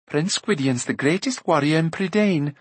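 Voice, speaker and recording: A man voicing a spirited young princess with tart, teasing retorts, pitched higher than his own voice. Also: moderate pace, breathy edge, no echo.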